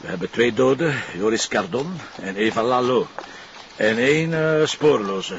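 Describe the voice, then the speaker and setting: A male actor plays a gruff Flemish police commissioner with a creaky, gravelly voice. He is blunt, impatient and drily sarcastic, in radio drama style. The recording is somewhat muffled and dull. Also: mid-range voice, lively intonation, normal speed, background noise, lightly acted. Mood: exasperated. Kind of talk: radio crime drama acting.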